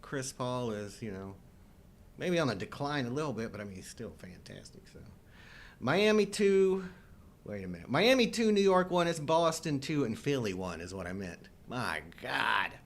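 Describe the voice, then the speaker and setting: A man's voice: mid-range voice, big pitch swings, normal speed. A middle-aged man chatting casually as co-host of a live show. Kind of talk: casual chat.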